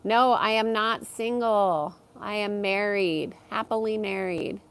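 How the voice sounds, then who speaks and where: A woman hosting a live stream from her backyard, talking slowly and softly, pausing with ums as she reads and answers chat comments. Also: mid-range voice, clean tone. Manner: slow, soft-spoken, with frequent ums.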